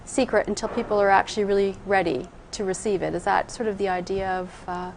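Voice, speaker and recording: A woman hosts an interview show, asking probing follow-up questions in an easy conversational voice of middle pitch. A faint noise hangs behind her. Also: steady tone, fast talker, darkish tone, poor audio. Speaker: talk show host.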